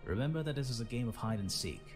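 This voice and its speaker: An actor playing a stern, steady major giving a formal, measured military briefing in a space game. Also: male voice, medium pitch, natural voice. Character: stern, steady male squadron leader, a major. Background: loud music.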